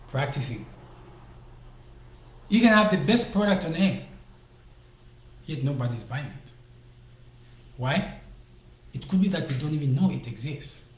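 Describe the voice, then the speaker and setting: A man from Zambia giving business advice as a vlogger, emphatic and fond of rhetorical questions, speaking into a desk microphone. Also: on the high side. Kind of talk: casual motivational talk to camera. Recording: echoey room, faint background noise.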